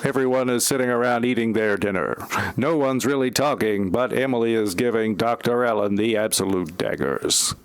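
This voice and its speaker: A man's voice: medium pitch, moderate pace, clean tone, dry recording. A man narrating a parody audio play from a script, with wry asides.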